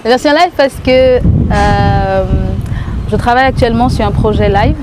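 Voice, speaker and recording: A woman singer being interviewed, speaking thoughtfully and pausing often to search for her words. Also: on the high side, heavy background noise. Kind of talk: casual interview talk.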